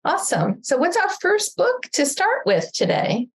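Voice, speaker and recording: A woman's voice: extremely high pitch, huge pitch swings, normal speed. A woman speaking as the host of a children's storytime event. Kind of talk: hosting a live children's storytime.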